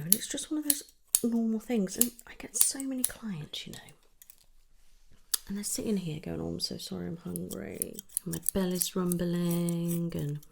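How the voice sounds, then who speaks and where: A British woman doing a gentle, chatty ASMR hairdresser roleplay, speaking softly and reassuringly close to the mic, often slipping into a breathy whisper. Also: on the low side, a little hiss or hum. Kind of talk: ASMR roleplay, one-sided casual chat. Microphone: close ASMR microphone.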